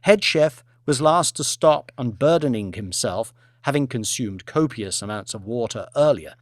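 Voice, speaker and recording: A man's voice: mid-range voice, expressive, moderate pace, dry recording. A man reading scripted, dramatic audiobook narration into a close microphone.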